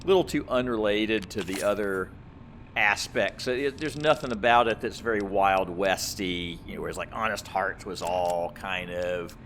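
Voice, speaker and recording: A man's voice: low-pitched, normal speed, fairly bright tone, a little hiss or hum. A man giving casual, rambling gameplay commentary, thinking aloud in a conversational way as he plays. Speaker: gamer, let's play host.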